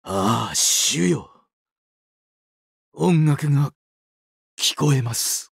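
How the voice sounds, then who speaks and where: An actor playing a sadistic, weeping angel of doomsday in a mobile game, exaggeratedly polite and dramatic, swinging between sorrow and glee. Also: male voice, mid-range voice, animated, drawn-out words, creaky, husky, dry recording, studio quality, full character voice. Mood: solemn, courteous. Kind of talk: Japanese mobile game character acting.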